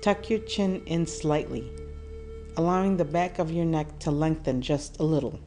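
Female meditation guide, low register, calm and deliberate delivery with pauses, over clearly audible music. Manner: soothing, measured, with deliberate pauses.

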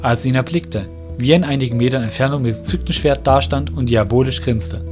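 A man's voice: medium pitch, fairly quick. Male audio drama narrator over music. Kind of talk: scripted storybook narration. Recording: music behind the voice.

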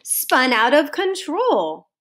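Expressive, high-voiced woman reading a storybook. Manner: sing-song, deliberate, drawn-out phrase endings. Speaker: teacher reading aloud to students. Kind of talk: expressive children's storybook read-aloud. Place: home kitchen, indoors.

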